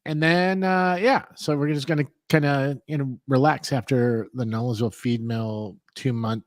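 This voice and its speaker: A man's voice: medium pitch, fairly even intonation, normal speed, no room sound. A man co-hosting a talk show in casual chat, joking and teasing, laughing often, on a close studio mic. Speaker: co-host.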